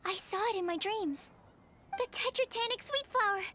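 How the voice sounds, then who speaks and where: High-voiced, girlish young female alchemist. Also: moderate pace, pushed and bright, a little hiss or hum. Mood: eager, dreamy. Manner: hesitant, then gushing. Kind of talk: video game voice acting.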